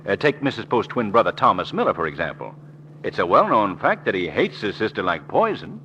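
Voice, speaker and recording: A suave, sardonic amateur detective in an old radio serial. His voice is forceful and very animated, dryly witty. The recording is dull, with faint noise. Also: on the low side, brisk. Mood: wry, teasing. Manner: dry, sardonic, urbane. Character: suave, wry gentleman amateur sleuth. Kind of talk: 1940s radio drama acting.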